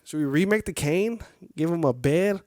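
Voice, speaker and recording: A man's voice: fairly high pitch, normal speed, a little mellow, dry recording, studio quality. A man reading a game's story aloud on a live stream, with casual, chatty asides to viewers. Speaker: game streamer.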